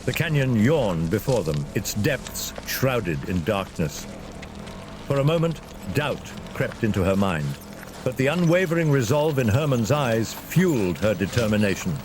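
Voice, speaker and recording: A man narrates a dramatic fantasy tale with a lively, widely moving pitch. His voice sits at a middle register. Clear vehicle rumble and ambient effects play behind him. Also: a little slow.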